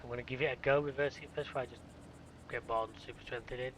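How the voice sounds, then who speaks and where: A man's voice: medium pitch, steady tone, rapid-fire, fairly bright tone. A man with a blocked nose from a cold, casually commenting on a farming game and grumbling at it as he plays. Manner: grumbling, self-mocking muttering at the game. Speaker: gaming YouTuber. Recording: game sounds and vehicle noise behind. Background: farming game vehicle noise.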